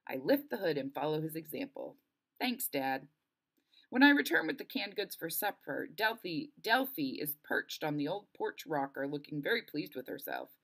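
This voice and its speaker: A woman reading a first-person children's novel aloud in an expressive storytelling style. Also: deep voice, moderate pace, creaky, few pauses. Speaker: story reader.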